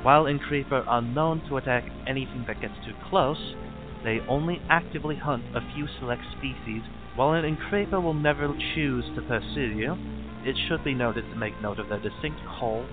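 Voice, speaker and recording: A man reading scripted mock-documentary narration in a formal, measured, clinical manner. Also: medium pitch. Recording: band-limited lo-fi audio with background music. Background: music.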